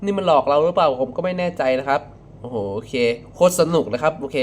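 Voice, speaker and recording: A young man talking casually in a reaction vlog, excitable and full of exclamations. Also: on the high side, fast talker. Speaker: video creator reacting to a horror clip. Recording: faint background noise.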